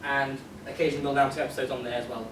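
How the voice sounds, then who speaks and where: A man's voice: medium pitch, level tone, racing. A young British man chatting informally on a panel in a jokey, self-deprecating way. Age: young adult. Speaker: convention panelist, amateur animator. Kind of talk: casual unscripted panel chat. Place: convention panel room, indoors. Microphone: distant camera microphone. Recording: echoey, noisy room, distant microphone.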